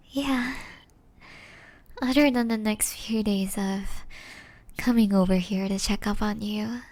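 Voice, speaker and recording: Woman playing tender young ex-girlfriend, ASMR roleplay, soft breathy whisper, very slow pace, flat gentle intonation, remorseful, hesitant. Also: mid-range voice. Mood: shy, relieved.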